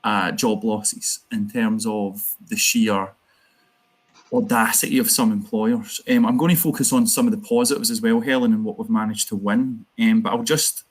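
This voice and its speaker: A Glaswegian man speaks in a fairly low voice, earnest and campaigning, with quick asides. He talks as a union organiser on an online panel. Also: steady tone, breathy edge. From Scotland.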